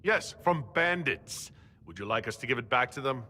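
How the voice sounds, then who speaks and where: An actor playing a questioning, dry-witted leader in a video game, with a direct, probing manner. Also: male voice, medium pitch, expressive, moderate pace, bright, forceful tone. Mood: sardonic. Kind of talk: dramatic video game dialogue. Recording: long reverb.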